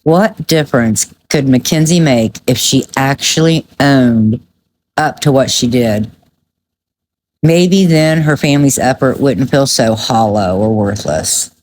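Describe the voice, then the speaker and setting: A woman with a low voice gives conversational true crime commentary. She is blunt and judgmental, leaning hard on her points. There is noticeable noise behind her. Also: a little mellow.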